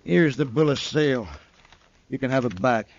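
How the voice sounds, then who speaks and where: An actor playing a grasping, indignant American swindler in a 1950s radio western, whining and insistent. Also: male voice, medium pitch, normal speed, natural voice. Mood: demanding. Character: grasping, indignant Old West swindler, a man. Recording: muffled old radio recording.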